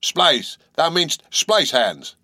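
A man voicing a gruff, hearty old sea captain who talks loudly and stammers a little. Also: mid-range voice, animated, normal speed, dry recording, clean studio sound, voice pushed up. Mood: jovial.

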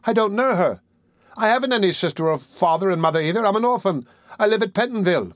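Man voicing a high, frightened orphan boy. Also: very animated, moderate pace, no room sound, studio quality. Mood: alarmed protest. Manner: alarmed, protesting.

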